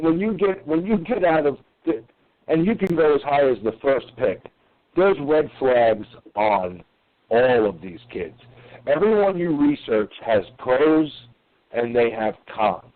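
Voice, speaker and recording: A man, co-hosting a casual sports podcast, animated, swearing and tripping over his words. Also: a little high, measured pace. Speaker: podcast co-host. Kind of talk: casual sports call-in chat. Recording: tinny phone-like line.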